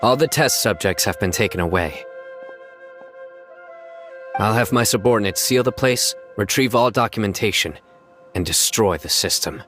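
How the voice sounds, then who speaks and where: An actor playing a stern male officer who speaks in a measured, commanding way. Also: fairly low pitch, lively intonation, fairly bright tone, soft background music. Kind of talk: video game voice acting.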